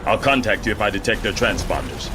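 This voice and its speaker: A man playing a proud, authoritative military general in a game cutscene, formal and measured. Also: lowish voice, expressive, music in the background, natural voice. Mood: proud, businesslike. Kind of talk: video game cutscene acting.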